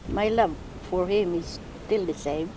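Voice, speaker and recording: Slow, shy Filipino wife. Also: female voice, fairly low pitch, background noise. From Philippines. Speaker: interviewee, wife. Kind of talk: casual interview.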